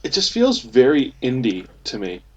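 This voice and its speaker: A man's voice: medium pitch, wildly swooping pitch, moderate pace, darkish tone, husky, lo-fi sound. A young man chatting casually as a panelist in a group podcast discussion, on an amateur internet recording.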